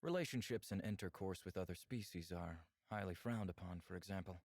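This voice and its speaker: A man playing a reserved, candid alien lover in a visual novel, with measured, formal phrasing. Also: low-pitched, a little mellow, no room sound, studio quality. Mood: calm, candid. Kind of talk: visual novel character acting.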